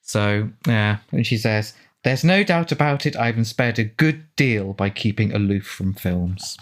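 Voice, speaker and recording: A man's voice: fairly low pitch, animated, measured pace. A man giving informal film commentary as an author, chatty and discursive in the way he talks. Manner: chatty, discursive, reading out quotes.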